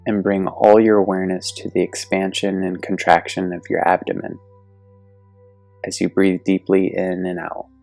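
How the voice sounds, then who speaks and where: Male meditation guide: gentle, deliberate delivery with a creaky low edge, recorded dry. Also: little pitch movement, dry recording. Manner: soothing, gentle, deliberate with long pauses. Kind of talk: guided yoga nidra meditation. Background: soft meditation music.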